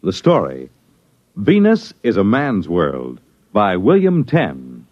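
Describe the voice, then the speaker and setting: A man's voice: on the low side, wildly swooping pitch, slow, heavy background noise. Dramatic 1950s male radio announcer. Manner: dramatic, declaiming. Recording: dull vintage radio recording with music.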